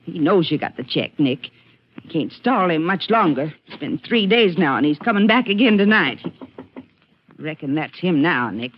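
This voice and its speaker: Nagging rural Southern farm wife. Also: female voice, sits low, lively intonation, moderate pace, slight background noise. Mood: cowed, plaintive. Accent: rural American Southern. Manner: plaintive, scolding, folksy. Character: nagging but cowed West Texas farmer's wife. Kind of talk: 1950s radio drama acting. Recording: dull vintage radio recording.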